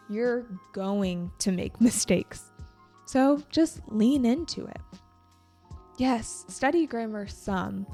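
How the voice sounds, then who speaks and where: A young woman hosting an English-learning podcast, talking calmly and encouragingly as a teacher. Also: medium pitch. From United States. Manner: calm, encouraging, slow and deliberate. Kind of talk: scripted educational podcast talk.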